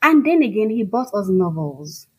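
Nigerian lady, igbo first language, bit husky, talking emphatically like a writer in an interview. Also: mid-range voice, sing-song, normal speed, mellow. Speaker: fiction writer being interviewed. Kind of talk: conversational, reflective interview answers.

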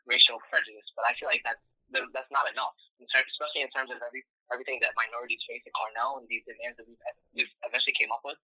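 A man's voice: mid-range voice, bright, forceful tone, dry recording, rough recording. A young man from Morocco answering interview questions in a casual way, with lots of "like" and "um". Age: young adult. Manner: casual, many "like" and "um" fillers. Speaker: student activist guest.

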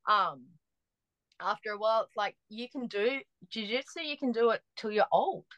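A woman's voice: a little high, moderate pace, muffled recording, a little hiss or hum. Chatty woman in her forties. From Australia. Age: 40s. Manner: chatty, lively storytelling with restarts. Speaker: guest. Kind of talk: casual chat.

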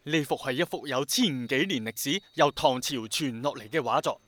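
A man's voice: mid-range voice, normal speed, a bit bright, no echo, close to an everyday voice. An actor with a Hong Kong Cantonese accent playing an earnest, dreamy young man who is obsessed with bringing back rain, in a radio drama. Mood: earnest, excited. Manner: passionate, persuasive, romantic-minded.

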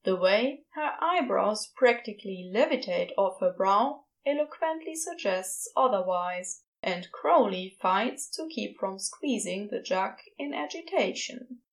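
A woman's voice: mid-range voice, animated, measured pace, few pauses, rough recording. A woman narrating scripted fiction as the storyteller of an audio drama.

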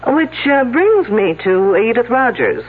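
An actress playing a shrewd, wisecracking, sarcastic police detective in a 1970s radio drama, confrontational and in command, with a husky voice. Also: female voice, on the high side, very animated, measured pace, exaggerated voice. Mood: accusing, cutting. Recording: tinny band-limited old radio broadcast.

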